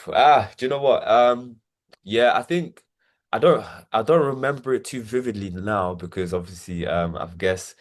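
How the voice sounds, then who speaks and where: A man's voice: fairly low pitch, measured pace. A young man, telling his life story in an interview, hesitant and full of fillers and repeated words. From Nigeria. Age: 20s. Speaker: interview guest. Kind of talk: reflective personal testimony.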